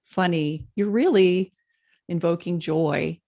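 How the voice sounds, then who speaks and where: A woman's voice: on the low side, slow pace, creaky, mellow. A woman co-hosting a casual spiritual chat, hesitant, with many fillers, stumbling over names. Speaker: podcast co-host.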